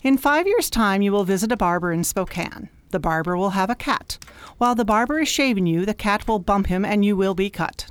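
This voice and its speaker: A woman's voice: mid-range voice, expressive, a little fast, clear, resonant tone, natural voice. Woman acting a precise, wise alien mentor. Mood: wise, serene. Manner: precise, schoolmistressy, gently scolding. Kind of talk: science fiction radio drama acting.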